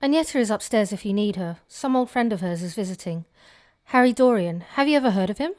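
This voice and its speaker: A woman's voice: mid-range voice, animated, fast, a little mellow, lightly acted. A woman playing a put-upon, exasperated pub landlady in an audio drama, with a weary, grumbling manner. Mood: fed up.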